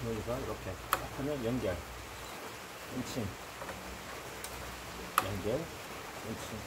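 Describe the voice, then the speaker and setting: A man's voice: lowish voice, slow. A middle-aged man explaining farm machine repair while he works outdoors, in a blunt, colloquial way that slips into complaints. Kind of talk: casual how-to explanation while working. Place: outdoors on a gravel farmyard. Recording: outdoor ambient noise.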